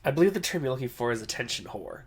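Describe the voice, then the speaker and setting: A man talks his way through a video game with casual banter. His voice sits at an average male pitch. The delivery is conversational, like chatting with a co-host. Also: very animated, rapid-fire. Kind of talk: casual let's-play banter.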